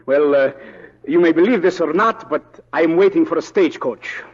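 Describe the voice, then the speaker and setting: A man's voice: on the high side, normal speed, lightly acted. A man playing a pompous, eccentric, comically dignified Russian husband in an old radio drama. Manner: pompous, formal. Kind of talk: 1940s radio comic acting. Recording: noisy, dull old radio recording.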